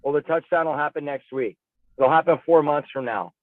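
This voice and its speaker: A man's voice: a little high, a little fast, no room sound. A male interview guest talking casually and with firm opinions, emphatic and conversational, over a thin phone-like connection. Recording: dry, tinny phone-like radio sound.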